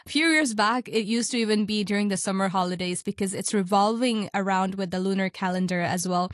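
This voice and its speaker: A woman's voice: medium pitch, brisk. A woman from Kashmir, Pakistan, co-hosting a community radio chat show, chatty and reflective. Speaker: radio co-host. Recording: voice a bit off-mic.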